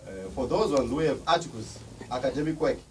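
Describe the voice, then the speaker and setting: A man's voice: medium pitch, expressive, a little fast. Guy giving thank-yous at an event, lots of uhs, mic far off with noise behind. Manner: hesitant, many fillers. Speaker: event organizer. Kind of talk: closing remarks at an event. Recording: distant mic, clear background noise.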